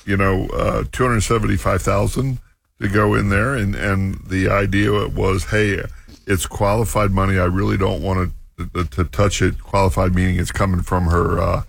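Low gravelly guy with a husky edge, dry close sound, halting and full of ums. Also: flat delivery, dry recording. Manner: halting, filler-heavy, laughs easily. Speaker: co-host, financial advisor. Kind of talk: casual radio chat.

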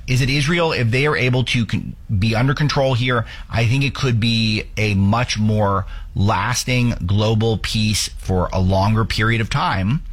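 A man from the United States hosting a talk show, giving opinionated political commentary with earnest, stumbling restarts. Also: medium pitch.

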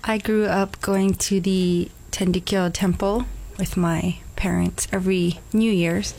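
Female guest from Honolulu, flat delivery, brief and plain. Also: medium pitch, measured pace, creaky, poor audio. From Honolulu, Hawaii. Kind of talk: casual interview answers.

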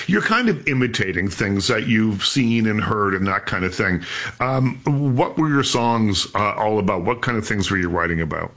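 A man hosting a radio interview, asking lively conversational questions and reading out promos. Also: fairly low pitch. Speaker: radio show host.

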